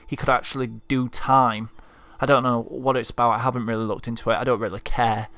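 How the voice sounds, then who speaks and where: A man's voice: medium pitch, level tone, a little fast, sharp tone, no room sound. A man from Leeds with a Leeds accent, delivering a frustrated, heartfelt vlog rant as a football fan. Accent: Leeds Yorkshire. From Leeds, England. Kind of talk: impassioned monologue rant.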